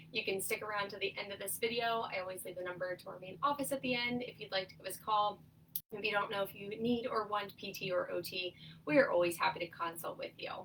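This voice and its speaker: A young woman occupational therapist leading a seated exercise class, her voice nasal and stuffed up, giving upbeat cues and steady countdowns. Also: mid-range voice, far from the mic, a little hiss or hum, low-quality recording. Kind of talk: instructional exercise cueing with countdowns.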